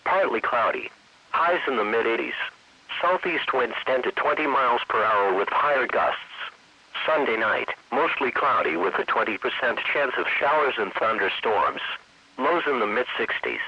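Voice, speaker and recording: A man's voice: medium pitch, pushed and bright, breathy edge. An automated male announcer reading scripted forecasts in a formulaic, impersonal synthetic voice. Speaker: automated weather radio announcer. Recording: dull lo-fi weather radio stream.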